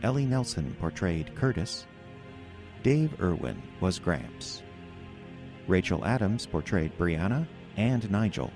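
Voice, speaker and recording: A man reading scripted radio drama credits as announcer, measured and formal. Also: low-pitched. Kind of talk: scripted closing credits read.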